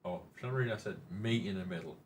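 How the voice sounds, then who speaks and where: Rambling streamer, lowish voice. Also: male voice, fast, slight background noise. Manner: rambling, joking, mildly profane. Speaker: livestream host. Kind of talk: casual live-stream chat.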